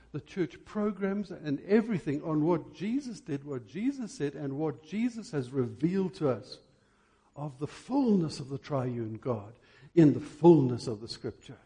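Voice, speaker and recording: A man preaching an impassioned church sermon, emphatic, with rhetorical questions and deliberate stresses on key words. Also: fairly high pitch, warm tone.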